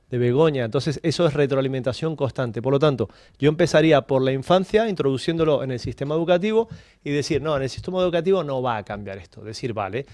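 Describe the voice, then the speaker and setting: A man's voice: a little high, darkish tone, no room sound, clean studio sound. A Spanish-born man on a radio talk panel, explaining time management through stories and questions. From Spain. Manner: explanatory, storytelling, builds points with questions. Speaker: guest coach on time management. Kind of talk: radio talk-show discussion.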